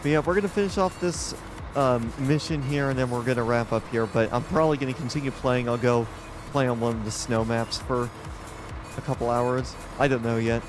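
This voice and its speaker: A man with a loud voice giving rambling, casual commentary while streaming a game live, often restarting his sentences and talking to the game. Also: medium pitch, a little fast, over music. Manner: rambling, self-correcting, talks to the game.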